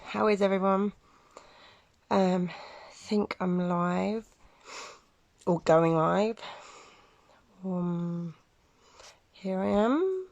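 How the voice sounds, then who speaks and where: A woman hosting a casual live stream, slightly croaky from a cold, friendly and quick to laugh. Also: medium pitch, lively intonation, darkish tone, dry recording, dull audio. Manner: friendly, laughs often, um-filled. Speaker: host. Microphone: phone or computer mic on a live stream.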